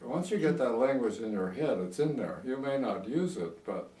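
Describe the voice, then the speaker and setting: A man's voice: medium pitch, expressive, moderate pace, mellow. Old american guy in his 80s rambling through memories, bit far from the mic in an echoey room. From United States. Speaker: oral history interviewee. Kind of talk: reminiscing in a casual interview. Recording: echoey room, distant microphone.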